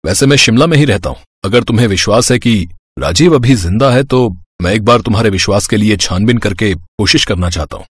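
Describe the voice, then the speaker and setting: A man's voice: lowish voice, animated, fairly quick, breathy edge, no room sound. A man voicing a helpful young police inspector in a cartoon story, earnest and reassuring.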